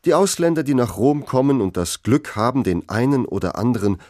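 A man's voice: mid-range voice, lively intonation, fairly quick, a little mellow, dry recording, studio quality. A man narrating a scripted literary essay for radio.

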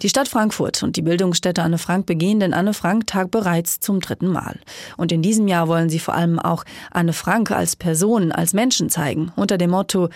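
A woman narrating a scripted radio news report in a calm, even way. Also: on the low side, dry recording, studio quality.

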